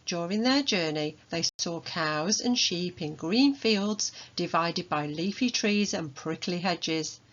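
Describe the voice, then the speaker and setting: Dull-sounding recording, low-voiced woman storyteller. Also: takes it slowly, few pauses. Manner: expressive, acts out dialogue. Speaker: author reading own children's story. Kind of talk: children's storybook read-aloud.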